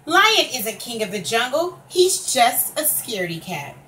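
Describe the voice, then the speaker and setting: A woman's voice: on the high side, big pitch swings, unhurried, sharp tone, heavily acted. A woman voicing a mocking, cheeky monkey in a children's picture book, taunting and exaggerated. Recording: distant microphone, faint background noise.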